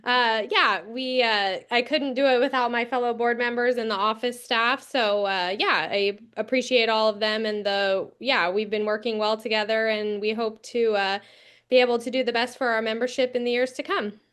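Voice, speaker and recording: A woman with a smooth, clear voice pitched a bit high gives an impromptu thank-you on a video call. She hesitates and restarts often, filling gaps with uhs. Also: fairly even intonation, moderate pace, a bit bright. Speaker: board chair accepting an award.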